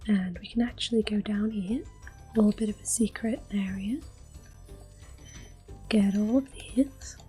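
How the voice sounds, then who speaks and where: A woman hosts an ASMR playthrough in a soft, breathy half-whisper. She gently talks through each move at a slow, unhurried pace. Faint game music plays behind her voice. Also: mid-range voice, steady tone. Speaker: game streamer, host. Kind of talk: ASMR gameplay commentary.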